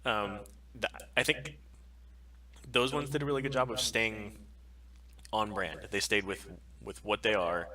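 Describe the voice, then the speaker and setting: Guy cohosting a stream, lowish voice w bright edge, blunt casual opinions, swears a lot. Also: fairly even intonation, measured pace. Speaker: co-host of a podcast live stream.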